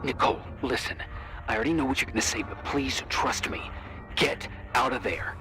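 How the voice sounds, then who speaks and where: A man's voice: medium pitch, moderate pace, over music, lightly acted. An actor playing a concerned, cautious man in a video game, speaking in an earnest, pleading, insistent way. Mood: pleading, worried. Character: concerned, cautious man urging a woman to safety. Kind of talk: naturalistic video game voice acting.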